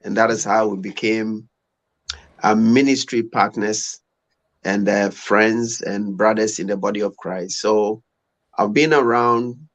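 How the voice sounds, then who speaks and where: A man's voice: lowish voice, steady tone, unhurried, poor audio. A man in his fifties from Ghana with a Ghanaian accent, speaking as a guest pastor in a conversational religious style. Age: 50s. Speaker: pastor, guest on a live stream. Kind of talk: conversational testimony and scripture reading.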